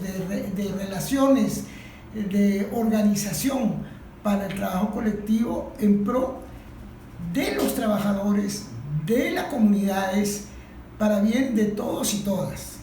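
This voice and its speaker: A Venezuelan woman answers as a guest in a discussion, pausing to find her words. Her delivery is slow and her voice soft-edged and mellow. The room is heavily echoey. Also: mid-range voice. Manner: halting, searching for words. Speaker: interview guest, industrial worker. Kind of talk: spontaneous panel discussion. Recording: very echoey room, faint noise.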